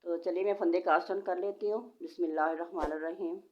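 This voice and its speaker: A woman's voice: lowish voice, fairly even intonation, fast talker, slight background noise. A woman, patiently giving step-by-step knitting instructions and counting stitches aloud. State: sore throat, voice slightly changed. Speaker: knitting tutorial host. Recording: dull lo-fi home recording.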